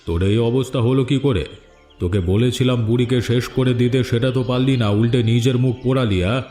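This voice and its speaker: A man's voice: medium pitch, brisk, mellow, close to an everyday voice. An actor playing a firm, insistent village man in a dramatic fairy-tale cartoon. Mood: stern, scheming. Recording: echoey studio dub with background music.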